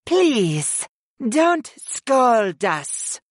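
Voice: mid-range voice, very animated, measured pace, fairly bright tone, dry recording, clean studio sound, voice pushed up. A narrator voicing female goddesses in a fantasy audiobook, murmuring, coaxing and pouting in a sultry way.

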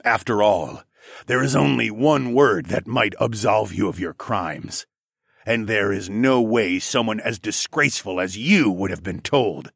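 A man's voice: fairly low pitch, vocal fry, a bit bright, slightly rough, no room sound, studio quality, full character voice. A man doing a growling, stern wolfman leader. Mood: scornful. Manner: slow, cold, commanding. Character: stern, placid male grey wolfman leader, growling and implacable. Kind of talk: audiobook character voice.

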